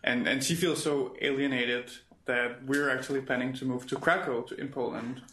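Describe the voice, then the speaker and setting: A young man from Denmark, a native Danish speaker, speaking haltingly in an informal seminar discussion. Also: medium pitch, fairly even intonation, a bit bright. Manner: hesitant, halting. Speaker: seminar participant. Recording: echoey room with faint noise.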